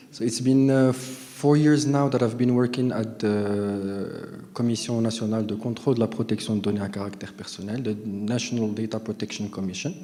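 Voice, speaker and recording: A man's voice: mid-range voice, warm tone, in a large hall. A man introducing himself on a conference panel, hesitant and modest. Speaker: panelist, data protection official.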